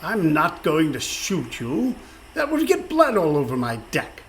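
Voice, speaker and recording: An actor playing a blustering, villainous pirate captain in a radio play, with a pompous, theatrical, mock-courteous delivery. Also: male voice, on the high side, wildly swooping pitch, a little slow, full character voice. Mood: smug, menacing. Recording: faint background noise.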